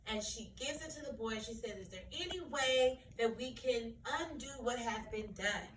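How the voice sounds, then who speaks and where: A woman's voice: fairly high pitch, moderate pace, fairly bright tone. A woman teacher explaining a story to children in a read-aloud lesson, repeating key phrases as she goes. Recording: roomy echo, distant mic, faint noise.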